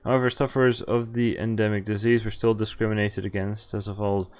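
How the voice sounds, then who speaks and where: Low-voiced man reading a visual novel aloud. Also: little pitch movement, brisk, darkish tone. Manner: reads narration evenly, breaks off to swear and react. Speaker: streamer playing a visual novel. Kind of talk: reading game text aloud with live reactions.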